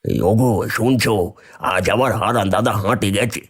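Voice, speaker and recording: A man voicing an envious, scheming poor villager who grumbles bitterly in an animated moral story. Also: fairly low pitch, normal speed, husky. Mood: envious grumbling.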